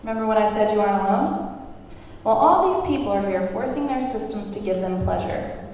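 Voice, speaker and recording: A woman's voice: medium pitch, expressive, brisk, dark tone, close to an everyday voice. Casting: woman as streetwise, reassuring thrill-seeker guide, table-read style, heard from far off in heavy reverb. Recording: very echoey, distant, noisy room recording.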